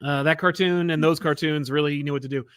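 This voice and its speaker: A man's voice: a little high, fairly even intonation, brisk, a bit bright, smooth, dry recording, clean studio sound. Upbeat young man chatting comics. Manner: enthusiastic, laughs often, many fillers. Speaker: co-host of comic book review show. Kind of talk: casual podcast chat.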